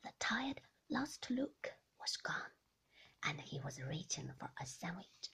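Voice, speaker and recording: Breathy whispering woman reading a novel. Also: lowish voice, big pitch swings. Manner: hushed, slow and intimate. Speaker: narrator. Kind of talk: whispered ASMR audiobook reading.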